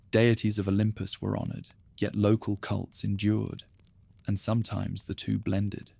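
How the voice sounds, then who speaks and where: A man narrating a calm, scripted history documentary in a soft, even, unhurried way. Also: lowish voice, creaky, dry recording.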